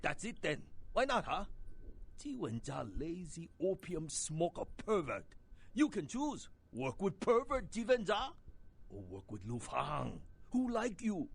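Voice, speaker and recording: An old Chinese hitman for a video game. The voice is gravelly and husky, swinging up and down as he wheedles and spits spite in accented broken English. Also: high-pitched, wildly swooping pitch, a little slow, full character voice. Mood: scornful, persuasive.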